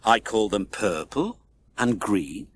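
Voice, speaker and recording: A man voicing an earnest, excitable wizard in a children's storybook. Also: fairly low pitch, slow, a little hiss or hum, voice pushed down. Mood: delighted.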